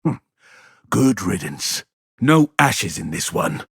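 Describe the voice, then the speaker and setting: Video game space marine: righteous zealot, stern and pompous, husky voice with big swings in pitch. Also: male voice, big pitch swings, slow pace, no echo, clean studio sound, heavily acted. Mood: scornful. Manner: pompous, grandiose declarations. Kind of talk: dramatic video game battle barks.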